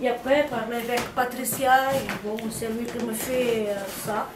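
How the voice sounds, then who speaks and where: A woman's voice: on the high side, little pitch movement, normal speed, noisy background. A woman, a resident, chatting in a rambling, casual way. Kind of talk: casual chat. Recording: echoey, mic far off.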